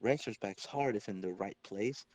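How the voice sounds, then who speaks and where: A man's voice: on the low side, moderate pace, darkish tone, natural voice. A man reading the part of a young, wisecracking male superhero thinking aloud in a dramatic comic-book reading. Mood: suspicious, pondering.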